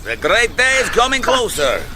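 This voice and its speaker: Brief: a grand South American rebel horseman, high, hugely animated voice, radio drama, noisy ambience. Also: moderate pace, exaggerated voice. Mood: grandly friendly. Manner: grand, warm declamation. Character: hearty, theatrical South American horse tamer and revolutionary. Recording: noisy outdoor ambience behind dialogue.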